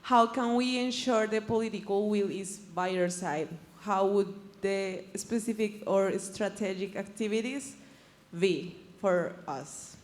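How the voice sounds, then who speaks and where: A woman's voice: medium pitch, unhurried, a little mellow, long reverb tail. A woman in an audience putting a brief question to a panel.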